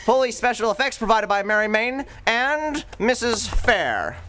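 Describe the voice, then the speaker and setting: Bright-voiced old-time radio announcer man. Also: very high-pitched, sing-song, a little slow. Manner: theatrical announcer delivery. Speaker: radio show host and announcer.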